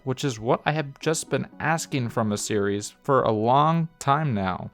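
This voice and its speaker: Enthusiastic man reviewing over background music. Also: mid-range voice. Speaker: host of a video review series. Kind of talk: scripted review narration with casual asides.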